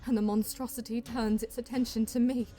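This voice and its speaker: A woman's voice: fairly high pitch, flat delivery, a little fast, dark tone, lightly acted. A woman playing a solemn elf seer, narrating an audio drama in intense, poetic first person over music. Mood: urgent, solemn. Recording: music behind the voice.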